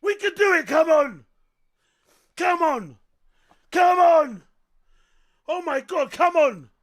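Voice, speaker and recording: A man reacting live to a football match, excitable and yelling and swearing at the screen. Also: very high voice, takes it slowly. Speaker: streamer host.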